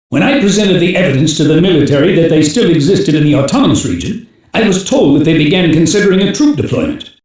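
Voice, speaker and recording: An actor playing a stern, commanding older security chief who gives terse, authoritative briefings in an anime game. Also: male voice, on the high side, natural voice. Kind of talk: English-dubbed anime game voice acting. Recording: very echoey, reverberant game audio.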